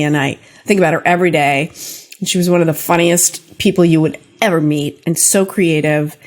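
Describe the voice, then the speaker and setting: An older woman hosting a podcast, telling personal stories in a casual, conversational way straight to her listeners. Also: on the low side. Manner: conversational, talks directly to listeners. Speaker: podcast host.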